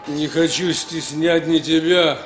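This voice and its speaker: A slightly high, echoey male voice from an actor plays a wary traveller in an old radio drama. He is curt and reluctant, with faint music behind. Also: steady tone, measured pace, natural voice. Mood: guarded. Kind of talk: 1960s Soviet radio play acting. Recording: echoey 1960s radio studio recording.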